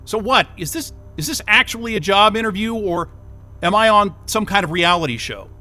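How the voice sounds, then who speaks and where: A flustered, cynical out-of-work techie in an audio drama. His voice is high and piercingly sharp, swinging widely as he blurts and swears in exasperation. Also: lively intonation, normal speed, faint music behind, heavily acted. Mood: exasperated, defensive. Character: flustered, cynical jobless tech worker under interrogation. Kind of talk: naturalistic audio drama acting.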